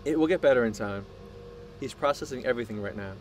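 An actor playing a proud, obsessive male scientist in an audio drama, speaking in a deliberate, grandiose way. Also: medium pitch, a little hiss or hum, close to an everyday voice. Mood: measured, earnest. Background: music.

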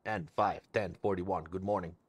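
A man's voice: deep voice, steady tone, moderate pace. A man chatting casually while streaming a game, swearing freely and repeating phrases playfully. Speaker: live streamer playing a roleplay game.

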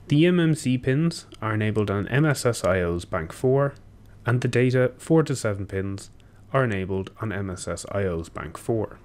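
A young man giving a technical walkthrough, steady and explanatory with occasional ums and self-corrections, recorded at a desk in a small room. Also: on the low side. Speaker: engineer presenting a tutorial. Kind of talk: technical walkthrough over screen share.

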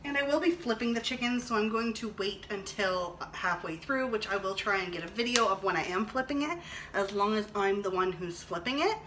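A woman's voice: medium pitch, expressive, brisk, fairly bright tone. A woman in her thirties chatting casually and thinking aloud as she cooks at home, recorded on a camera an arm's length away. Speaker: vlog host cooking and reviewing a product. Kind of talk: casual chat while demonstrating cooking. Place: home kitchen, indoors. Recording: clattering dishes and clicks, noisy room.

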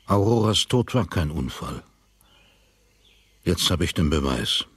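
An actor playing a grim tycoon bent on revenge in a radio drama, husky, grave and terse. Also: male voice, deep voice, big pitch swings, measured pace, no room sound, full character voice.

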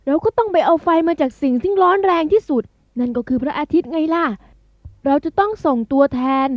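Confident swallow, acted by a woman, high voice, assertive, for a children's storybook; slightly dull recording. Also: lively intonation, fairly quick. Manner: assertive, explaining.

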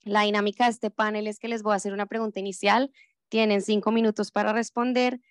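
A woman's voice: medium pitch, little pitch movement, quick pace, no room sound, studio quality. A woman moderating a conference panel, introducing speakers in a friendly, organized way with a few fillers.

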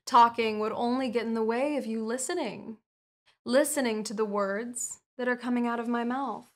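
A woman voicing a calm, manipulative female hypnotist who lulls a captured spy with soothing, repetitive words in an ASMR roleplay. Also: fairly high pitch, moderate pace, natural voice.

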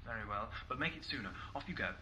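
An actor playing a brisk, wry time-travelling scientist in a science-fiction audio drama, dry and authoritative. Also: male voice, medium pitch, expressive, pushed and bright. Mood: brisk, reassuring.

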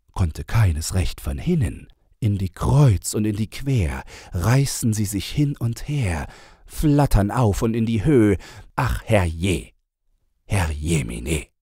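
Male audiobook narrator, deep, slow, slightly husky, measured, expressive, rhyming children's verse. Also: dry recording, studio quality.

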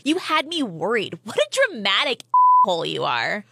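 A woman's voice: fairly high pitch, big pitch swings, measured pace, fairly bright tone, slightly rough, heavily acted. A woman playing an emphatic, sarcastic, easily exasperated friend in a comedy radio skit. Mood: exasperated, scolding. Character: exasperated, scolding woman friend on social media.

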